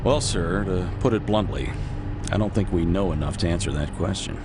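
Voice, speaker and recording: An actor playing a blunt, earnest, concerned wartime intelligence officer in a video game cutscene. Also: male voice, low voice, normal speed, noisy background, natural voice. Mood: frank, worried. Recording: loud music under voice.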